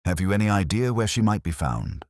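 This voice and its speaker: A man voicing a composed, brilliant Victorian detective in an audiobook, speaking in precise, formal and deliberate phrases. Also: lowish voice, sing-song, darkish tone, no room sound, studio quality, voice pushed up. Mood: measured, intrigued. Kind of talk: audiobook character dialogue.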